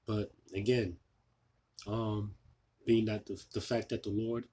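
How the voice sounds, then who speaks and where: A man losing his voice, giving an informal scripture sermon, halting with many fillers and restarts. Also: lowish voice, little pitch movement, mellow, a little hiss or hum. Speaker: preacher.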